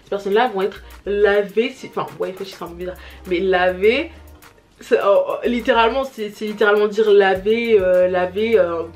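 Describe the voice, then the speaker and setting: A woman's voice: medium pitch, fairly even intonation, fairly quick, soft background music. A young woman vlogging at home to her camera, chatting casually about a series with lots of fillers and restarts. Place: home, family noises around. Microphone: camera mic.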